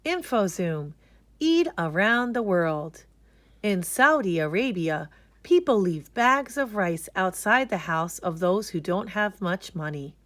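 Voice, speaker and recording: A woman reading a children's picture book aloud as narrator, in a deliberate, expressive way. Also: mid-range voice, no echo. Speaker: storybook reader.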